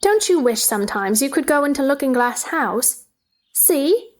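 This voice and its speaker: A woman's voice: high-pitched, big pitch swings, moderate pace, pitched above the performer's own voice. A woman voicing a chatty, curious little girl with an eager, inquisitive manner in a storybook play reading. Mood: wondering, eager.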